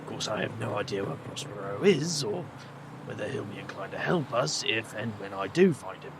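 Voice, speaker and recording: Guy acting a tired sarcastic adventurer, dry delivery, croaky fry in the voice, audio drama. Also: lowish voice, expressive, moderate pace, noisy background. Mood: weary, troubled. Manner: dry, world-weary, sarcastic. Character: weary, sardonic supernatural adventurer with family baggage.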